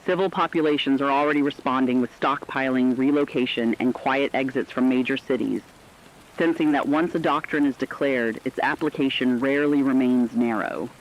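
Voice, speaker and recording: A man's voice: medium pitch, normal speed, lo-fi sound, a little hiss or hum, lightly acted. A man playing a sober radio correspondent, giving a scripted emergency report on a looming war with serious, emphatic phrasing. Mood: sober, ominous.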